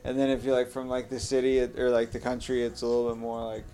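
A man's voice: medium pitch, completely flat delivery, quick pace, mellow. A young man talking casually and animatedly as a podcast co-host, full of slang and swearing. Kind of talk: casual chat.

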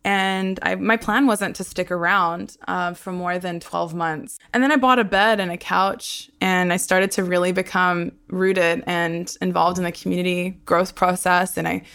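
A woman's voice: lowish voice, steady tone, moderate pace, gravelly, few pauses, fairly bright tone, clear, resonant tone. A young woman who hosts a podcast, talking easily about her life and laughing often. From Australia. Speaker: podcast host. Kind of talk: conversational interview.

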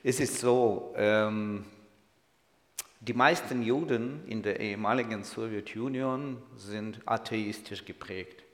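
A man's voice: on the low side, slow. A man, speaking German as a guest in church with humor and audience asides. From Ukraine. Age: 60s. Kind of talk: personal testimony in church. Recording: echoey hall.

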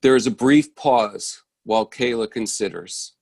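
A man narrates a play, reading its stage directions. His voice is mid-pitched with a creaky edge, recorded dry and close. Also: a little slow, a little mellow, dry recording. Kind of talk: scripted narration.

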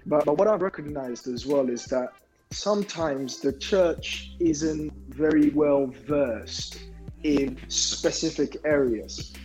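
A man speaking reflectively in a group discussion, deliberate and halting, often repeating words as he builds a point. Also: a little high, a little mellow, faint music behind, low-quality recording. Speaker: discussion panelist.

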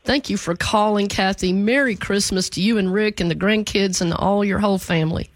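A man's voice: high voice, fairly even intonation, a little fast, no room sound. A man hosting a radio talk show, greeting callers in a friendly, encouraging way.

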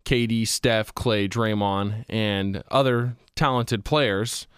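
A man's voice: on the low side, fairly even intonation, slow, smooth, no echo. A man co-hosting a casual sports show, with dry, mock-formal joking. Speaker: sports podcast co-host.

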